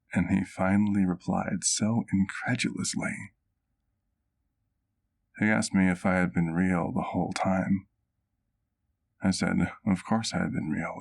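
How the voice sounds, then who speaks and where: A scholarly male vampire acted by a man with a very low, gravelly voice. He speaks in formal, measured, genteel phrases, as a caring doctor and keeper talking closely to the listener in an ASMR roleplay. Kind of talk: ASMR roleplay, intimate one-sided dialogue to the listener.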